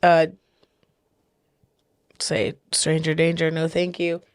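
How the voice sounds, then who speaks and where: A chatty, giggly woman co-hosts a casual podcast and keeps finishing her partner's sentences. Her voice sits on the low side and sounds slightly stuffy, and the recording is close and dry. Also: monotone, takes it slowly, clean tone, no echo. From big city. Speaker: podcast co-host. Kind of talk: casual chat.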